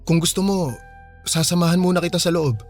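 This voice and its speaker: Actor as a low-key, higher-voiced young man. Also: steady tone, fairly quick, soft background music, lightly acted. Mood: concerned, helpful. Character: helpful, protective young male friend. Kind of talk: radio drama dialogue in conversational Filipino.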